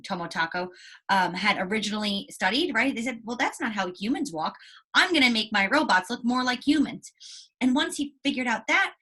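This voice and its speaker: High-voiced woman teaching young children. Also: pushed and bright, breathy edge. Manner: explanatory, often checks with "right?". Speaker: first-grade teacher. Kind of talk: read-aloud lesson talk to young pupils.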